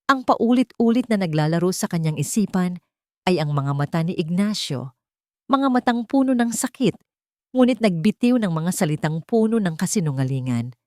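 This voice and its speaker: A woman's voice: on the low side, lively intonation, moderate pace, a little mellow, no room sound, clean studio sound. A woman giving a dramatic, scripted narration of a story.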